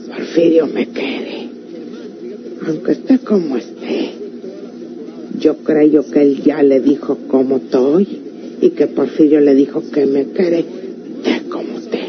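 A woman's voice: deep voice, steady tone, slow, pauses often. Through a noisy, dull old radio recording comes a resolute country woman with a rural Mexican accent. She talks in a plain, earnest, rustic way, as in a classic radio serial. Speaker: actress in a radio drama. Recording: muffled old radio recording, clear noise.

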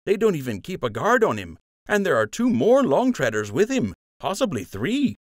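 A man's voice: a little high, wildly swooping pitch, moderate pace, no room sound, studio quality, pitched above the performer's own voice. A man voicing a grave, worried male rabbit who passes on news urgently in an audiobook. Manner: urgent, concerned.

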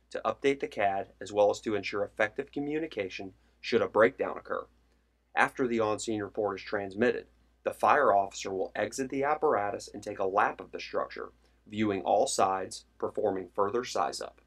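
Low-voiced male training narrator. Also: a bit bright. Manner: formal, measured delivery. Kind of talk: scripted instructional narration.

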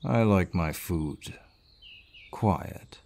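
An actor playing a large, gruff but kindly barbarian warrior in an audio roleplay, measured and reassuring, with a deep voice. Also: male voice, a little mellow, full character voice. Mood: teasing, reassuring. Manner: measured, reassuring, with pauses and sighs. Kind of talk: scripted audio roleplay, addressing the listener. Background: birdsong forest sound effects.

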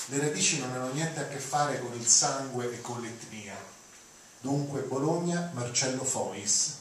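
A man's voice: medium pitch, unhurried. An older man from Rome giving an informal lecture, conversational and digressive, seated on stage with a handheld mic. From Rome, Italy. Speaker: lecturer introducing readings. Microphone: handheld mic, recorded from audience. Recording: echoey hall, distant mic, noisy.

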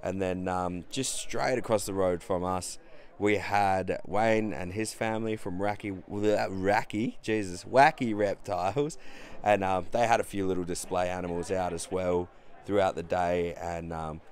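A man's voice: low-pitched, steady tone, a little slow. A man in his thirties with an Australian accent, chatting casually as a vlogger in a rambling, self-deprecating way. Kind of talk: casual vlog chat.

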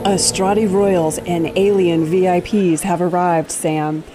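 A woman's voice: on the low side, fairly even intonation, unhurried, music in the background, close to an everyday voice, low-quality recording. A woman playing a chirpy live event reporter in a sci-fi radio comedy, announcing in broadcast style. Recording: faint background ambience noise.

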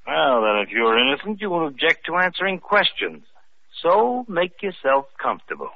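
An actor playing a brisk, skeptical police lieutenant, in 1940s radio acting style. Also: male voice, a little high, very animated, vocal fry, background noise. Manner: brusque, businesslike. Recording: tinny old radio recording.

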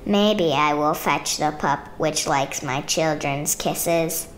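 A woman reading a children's picture book aloud in measured, rhythmic rhyme. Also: low-pitched, a little hiss or hum. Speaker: storybook reader.

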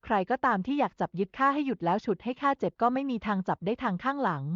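A woman narrates a scripted story in a fairly high voice. The recording is dry and close, with a slightly muffled top end. She delivers the text as a storyteller would. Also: animated, rapid-fire, fairly bright tone, no echo.

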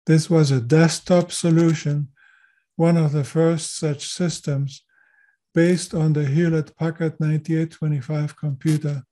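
A man in his seventies from Chile, a native German speaker, giving measured interview answers as a guest. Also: fairly high pitch, little pitch movement, dark tone. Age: 70s. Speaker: GIS consultant and lecturer, interview guest.

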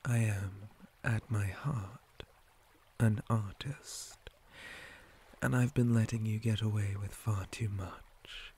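A man voicing a possessive, menacing spider monster captor in an ASMR roleplay, mostly in a breathy whisper with deliberate, theatrical menace. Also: fairly low pitch, steady tone, darkish tone, a little hiss or hum. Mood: controlling, haughty.

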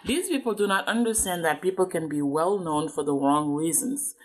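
Woman, slightly low pitch, parent read-aloud, didactic, explanatory, question-and-answer with a child. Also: moderate pace. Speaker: parent reading aloud and teaching.

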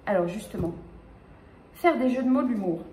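A woman in her fifties from the Paris region, giving a lively conference talk with many fillers and restarts, gesturing as she speaks. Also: on the high side, moderate pace, a little hiss or hum. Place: small lecture room with projector screen. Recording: echoey room.

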